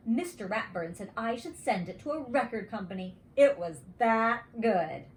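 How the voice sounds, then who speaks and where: Woman as a boastful, high-voiced schoolgirl. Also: animated, moderate pace, reverberant, natural voice. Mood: proud. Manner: proud, matter-of-fact bragging. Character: boastful schoolgirl, storybook classmate.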